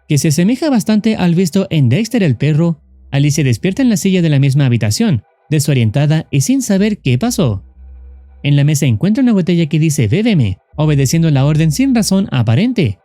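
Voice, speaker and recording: A man's voice: a little high, mellow, no echo. A man narrating a scripted story recap, explaining scenes as a narrator. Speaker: narrator of a horror series recap.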